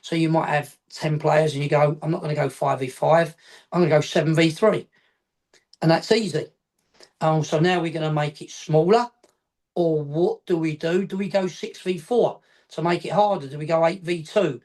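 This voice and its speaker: A man's voice: on the high side, fairly even intonation, normal speed, darkish tone. A middle-aged man talks through coaching ideas in a rambling, explanatory way, circling back to add one more point. He is heard over a video call from his home office. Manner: rambling, repeats points, adds afterthoughts. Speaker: football coach educator, guest. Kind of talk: casual explanatory chat.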